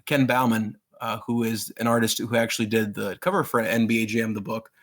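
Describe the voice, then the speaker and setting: A man's voice: fairly low pitch, little pitch movement, brisk, a bit bright. A man in his thirties who grew up in Pakistan, a guest author talking casually and enthusiastically in an interview, with many fillers and restarts.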